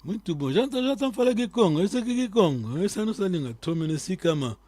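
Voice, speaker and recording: A man's voice: high voice, animated, dark tone, dry recording. Patient man teaching a language class. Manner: patient, quizzing students, repeating key words slowly. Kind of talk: live online language lesson.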